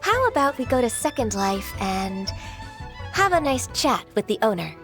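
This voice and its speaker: A woman's voice: a little high, big pitch swings, moderate pace, music in the background. A woman voicing a composed, dutiful young official in a video game, speaking politely, formally and firmly. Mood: earnest, reassuring. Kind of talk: animated video game voice acting.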